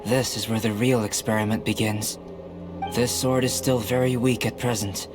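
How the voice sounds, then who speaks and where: An actor playing a calm young alchemist in a fantasy video game, explaining things in a measured, formal, articulate and analytical way. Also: male voice, lowish voice, music in the background, natural voice. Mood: composed, scholarly. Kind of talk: video game voice acting, scripted dialogue.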